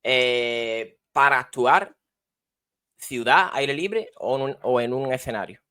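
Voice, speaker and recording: A man's voice: medium pitch, slow pace, sharp tone, clear, resonant tone, no room sound, studio quality. A man hosting a podcast, asking short, friendly interview questions and giving brief prompts. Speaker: podcast host. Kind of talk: quick-fire interview questions.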